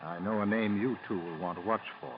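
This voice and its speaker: An actor playing a calm, courteous FBI chief with an American accent, formal and measured, in a 1940s radio drama. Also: male voice, lowish voice, natural voice. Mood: commanding. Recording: dull lo-fi 1940s radio recording.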